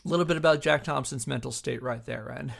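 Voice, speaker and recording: A man's voice: medium pitch, quick pace, creaky, fairly bright tone. A man hosting a podcast, explaining in a conversational, narrating style with asides. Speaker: podcast host and historian.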